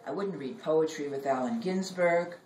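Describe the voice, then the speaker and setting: Woman, 60s, live poet, slightly low pitch, measured delivery; distant, noisy room recording. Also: darkish tone. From Brooklyn, United States. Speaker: poet reading her own work. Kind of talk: live poetry reading, personal and wry. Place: indoor library reading room. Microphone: distant camera or phone in audience. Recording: roomy, distant mic, background noise.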